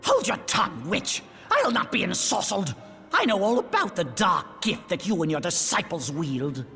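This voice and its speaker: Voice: medium pitch, big pitch swings, moderate pace, vocal fry, sharp tone, exaggerated voice. An actor playing a smug, venomous schemer who accuses in pompous, theatrical, archaic speech for a video game cutscene. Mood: scornful. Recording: long hall reverb, music underneath.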